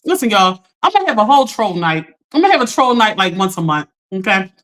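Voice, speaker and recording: A woman hosting a casual livestream, talking straight to her chat while she gets over a lost voice. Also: quick pace. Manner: chatty, talks straight to chat, animated pitch jumps. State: recovering from lost voice. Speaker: livestream host.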